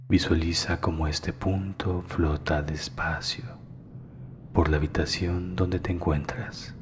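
A man narrating a guided meditation in a hushed, soothing, deliberate way with long pauses. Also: extremely low pitch, background noise.

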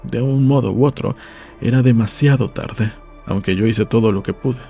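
Gravelly, mellow male audiobook narrator. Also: mid-range voice, normal speed, faint music behind. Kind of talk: scripted literary narration.

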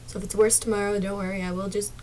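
A young woman streaming a game from her small dark bedroom, chatting casually to viewers with a sore, partly lost voice and drifting into mumbles. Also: mid-range voice, flat delivery, rapid-fire, a little hiss or hum. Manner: soft-spoken, drifts into whispers and mumbles. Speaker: gaming streamer.

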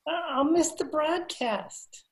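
A woman's voice: high-pitched, slow pace. A woman playing an earnest, hesitant man in an old-time radio comedy, recorded over a video call. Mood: fretful. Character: hurried, grateful dog owner Mister Zinser. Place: room at home, video call.

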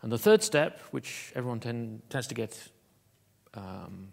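A man giving a conference keynote in an auditorium, talking in a halting way with many fillers and restarts. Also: medium pitch, expressive, creaky. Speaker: keynote speaker.

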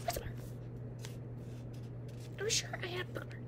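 A woman's voice: normal speed, natural voice. A young woman playing a haughty, jealous teen vampire girl in a comedic roleplay, blunt and sarcastic with whispered asides, recorded on a webcam in a dim bedroom. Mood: scolding. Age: young adult. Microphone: webcam at close range. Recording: noisy home webcam audio.

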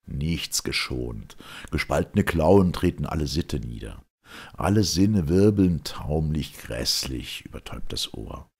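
Male reader for classical verse drama: extremely low, husky voice, solemn and theatrical. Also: animated, slow. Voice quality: gravelly, husky.

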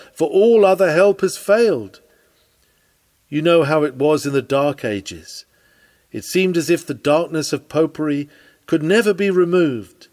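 A man's voice: medium pitch, a little mellow. A man reading an old-fashioned sermon aloud in an earnest, declamatory preaching manner. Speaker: sermon reader.

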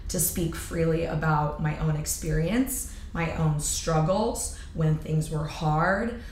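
A hoarse woman in her thirties talks in an upbeat, energetic way as a fitness coach in an interview, her loud, projecting voice sitting a little low for a woman. She sounds like she is in a bright studio room with a noticeable echo. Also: slow, slight background noise. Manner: energetic, upbeat, many fillers. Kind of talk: casual interview chat.

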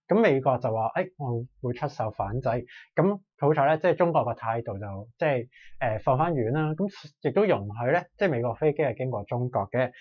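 A young man with a Hong Kong Cantonese accent gives conversational market commentary as a financial reporter, explaining informally with frequent fillers and drawn-out particles. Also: medium pitch, dull audio. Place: small indoor studio, seated at desk, speaking through a face mask.

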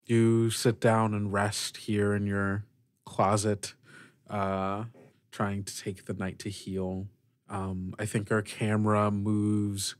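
A man narrating as game master of a tabletop role-playing game, measured and halting. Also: fairly low pitch, no room sound, studio quality.

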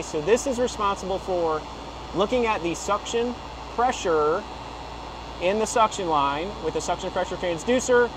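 A man giving a casual, unscripted walkthrough of HVAC unit controls, explaining each part with frequent "you know" and quick self-corrections. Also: high-pitched. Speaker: HVAC equipment rep giving a tutorial. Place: beside a rooftop HVAC unit, outdoors. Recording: noisy outdoor phone recording with machinery hum. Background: running compressor and fan hum.

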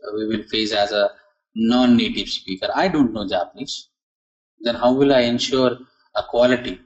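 A man teaching an online software testing class, asking and answering his own questions, with many fillers and restarts. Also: fairly low pitch, lo-fi sound.

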